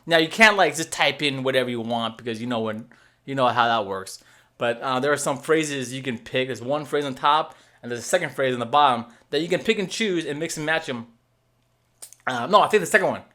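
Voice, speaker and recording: A man in his thirties recording at a desk in a room at home, explaining a new game to viewers in a casual, animated way with many fillers and restarts. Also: medium pitch, fairly quick. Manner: animated, lots of fillers and restarts, checks in with "okay?"; waves his hands while talking. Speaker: gaming video host.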